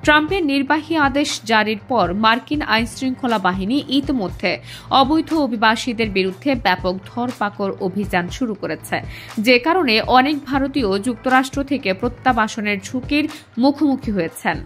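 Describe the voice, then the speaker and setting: High-pitched Bangladeshi woman reading news. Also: expressive, fairly bright tone. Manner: formal, measured news reading. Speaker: news presenter. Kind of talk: scripted news narration. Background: music under the voice.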